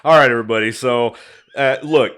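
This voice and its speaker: A man's voice: medium pitch, a little fast, a bit bright, clear, resonant tone. A man from Detroit co-hosting a casual talk show, rambling with many restarts and fillers. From Detroit, USA. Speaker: podcast co-host. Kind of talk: casual chat.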